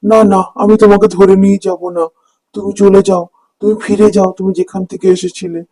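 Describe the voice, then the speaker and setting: A man's voice: high-pitched, little pitch movement, a little fast, mellow. A man voicing a poor, kind-hearted village fisherman and father in a cartoon, with a plaintive manner. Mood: compassionate. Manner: plaintive, lamenting. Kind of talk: cartoon dubbing. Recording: music under the voice.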